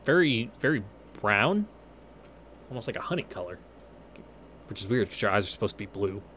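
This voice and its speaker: A man's voice: lowish voice, very animated, moderate pace, a bit bright. A man reading a visual novel aloud, breaking off into wry, informal commentary, with game music behind him. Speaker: let's-play narrator. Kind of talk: visual novel read-through with casual commentary.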